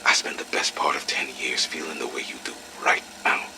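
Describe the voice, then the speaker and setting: An actor playing a blunt but sympathetic, weathered army major in an audio drama. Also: male voice, extremely low pitch, fairly even intonation, fairly quick, vocal fry, noisy background. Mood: earnest, sympathetic.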